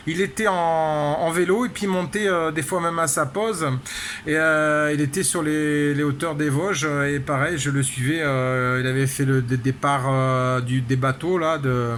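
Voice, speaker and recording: A man's voice: a little high, a bit bright. A man chatting casually as an amateur radio operator, peppering his talk with tag words. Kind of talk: casual radio contact chat. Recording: clear background noise.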